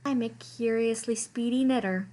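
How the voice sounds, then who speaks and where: A woman's voice: a little high, normal speed, mellow, lightly acted. Woman as a breezy, unflappable knitting cousin. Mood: cheerfully unbothered. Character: cheerful, unflappable female cousin who knits, storybook.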